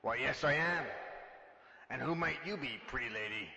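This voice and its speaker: A man playing a vain, flirtatious secret agent in an amateur radio drama, pompous and halting in his delivery. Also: medium pitch, expressive, creaky, pushed and bright, exaggerated voice. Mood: boastful. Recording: echoey hall, dull sound, music behind.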